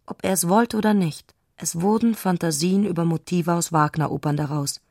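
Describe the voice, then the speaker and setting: Female narrator, literary radio play. Composed, measured storytelling, lower register, dry studio sound. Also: a little mellow, no room sound.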